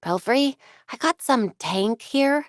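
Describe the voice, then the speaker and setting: A woman's voice: on the high side, expressive, dry recording, clean studio sound. A woman playing a brainy, determined young vigilante heroine in a video game, wry, earnest and conversational. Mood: focused. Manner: conversational, quick-witted, earnest, talking to herself and teammates.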